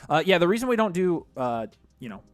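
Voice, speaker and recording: A young man hosting a casual fantasy football live stream from a small studio, talking into a boom mic and reading viewer chat. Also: big pitch swings, no echo, soft background music. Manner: quick, chatty, reads chat aloud. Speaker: fantasy football podcast host. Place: small studio with neon lights. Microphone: boom mic on arm.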